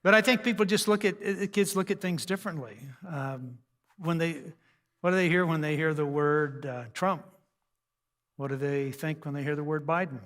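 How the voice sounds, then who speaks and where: Older male seminar speaker, conversational and humorous, higher-set voice, big reverberant room. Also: normal speed, fairly bright tone. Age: 60s or older. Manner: folksy, conversational, joking, self-deprecating. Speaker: speaker on raising teens, radio host. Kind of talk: humorous seminar talk to a church audience. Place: large church hall, speaking to an audience. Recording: live hall with long reverb.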